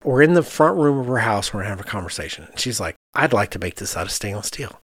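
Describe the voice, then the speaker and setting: A man, an architect hosting a podcast, chatting casually and telling animated anecdotes in which he quotes other people. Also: on the low side, quick pace, breathy edge, no echo.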